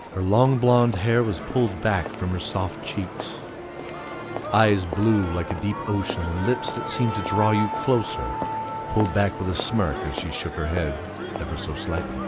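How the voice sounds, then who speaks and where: Deep-voiced man narrating a fantasy drama. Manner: steady, descriptive storytelling. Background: loud music.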